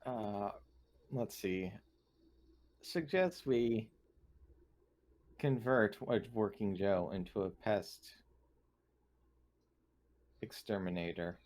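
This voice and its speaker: A man's voice: fairly low pitch, drawn-out words, pauses often, darkish tone. A man chatting casually as a player in a tabletop game, with some noise behind him.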